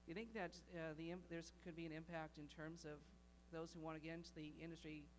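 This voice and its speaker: A man's voice: fairly high pitch, level tone, quick pace, background noise. A man from the audience asking a long, hesitant question full of fillers.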